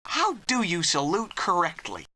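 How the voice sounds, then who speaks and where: Brief: wisecracking cowboy hero, bright lively read, game dialogue. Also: male voice, high voice, measured pace, heavily acted. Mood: curious. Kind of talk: dubbed adventure game dialogue.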